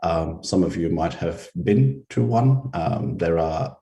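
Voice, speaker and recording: A middle-aged man from Belgium, a researcher, giving a conference presentation over a video call, relaxed and hesitant with frequent ums. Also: low-pitched, takes it slowly. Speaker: researcher and symposium speaker.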